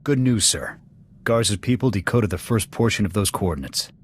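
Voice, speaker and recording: An actor playing a blunt, loyal army sniper officer with clipped military speech in a video game. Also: male voice, on the low side, lively intonation, normal speed, a little hiss or hum, lightly acted. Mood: businesslike.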